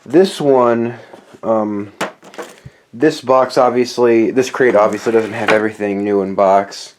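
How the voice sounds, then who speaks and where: A man with a lowish voice gives a casual, rambling show-and-tell of a fan from his collection. He sounds a little nasal and tired after dental work. He often stops and restarts as he talks. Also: steady tone, a little slow, a little hiss or hum. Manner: rambling, hesitant, with many restarts. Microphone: camera on a tripod.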